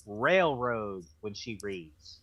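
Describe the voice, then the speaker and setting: A man's voice: on the low side, lively intonation, drawn-out words, a bit bright. A man co-hosting a book club in casual, conversational chat.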